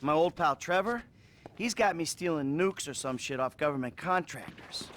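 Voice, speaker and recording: An actor playing a troubled, foul-mouthed middle-aged American criminal in a video game cutscene, halting and wry. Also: male voice, a little high, expressive, fairly bright tone, a little hiss or hum. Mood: bitter, weary.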